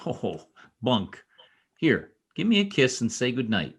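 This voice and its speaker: A man in an old-time radio play, acting a protective, brusque young state trooper with a gruff, commanding manner. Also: medium pitch, wildly swooping pitch, measured pace, exaggerated voice. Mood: protective, dismissive. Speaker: amateur radio play actor. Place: home, over a video call.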